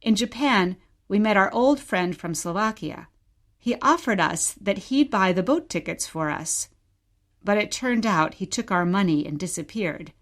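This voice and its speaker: A woman's voice: mid-range voice, lively intonation, unhurried, close to an everyday voice. A woman playing a wistful mother reminiscing about exile and telling her story simply in a radio play. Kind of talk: short radio play dialogue, bedtime storytelling.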